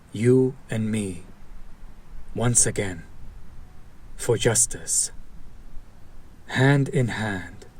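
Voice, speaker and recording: Man acting a husky, slow-speaking fallen hero. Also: lowish voice. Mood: determined, persuasive. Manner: earnest, deliberate, intense, dramatic pauses. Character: fallen hero turned villain, idealistic, bitter, protective former partner. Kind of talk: dramatic audio roleplay monologue addressed to listener.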